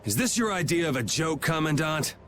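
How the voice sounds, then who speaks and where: A man's voice: fairly high pitch, big pitch swings, brisk, fairly bright tone, a little hiss or hum. An actor playing a casual, cool-headed young swordsman in a game, with a wry, laid-back manner. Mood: defiant. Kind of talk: English game dub acting.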